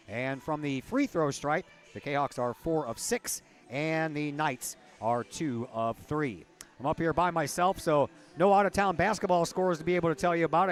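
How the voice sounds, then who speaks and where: A hoarse man announcing a high school basketball halftime show from the press box, reading stats and sponsor ads in a chatty way. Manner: chatty, self-deprecating asides between stat rundowns. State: hoarse, losing his voice. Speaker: play-by-play announcer. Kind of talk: halftime sports broadcast and read ads. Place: gym press box, alone.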